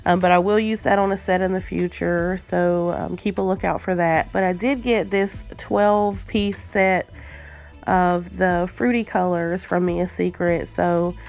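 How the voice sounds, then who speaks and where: Casual vlogger, female, stuffy cold voice, gravelly, lots of ums, faint music behind. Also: lowish voice, measured pace. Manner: chatty, frequent ums, relaxed. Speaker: nail art vlogger. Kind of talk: casual unboxing chat.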